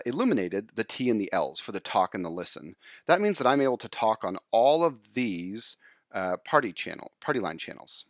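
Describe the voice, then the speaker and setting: A man explaining software step by step in an informal screencast tutorial voice-over. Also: medium pitch, no echo, clean studio sound.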